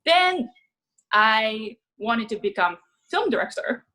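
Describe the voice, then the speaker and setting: A woman from Japan, speaking English with a Japanese accent, telling her story as an actress guest in a casual interview, chatty and quick to laugh. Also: fairly high pitch, sing-song, bright, forceful tone, poor audio. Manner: chatty, laughs often, restarts sentences.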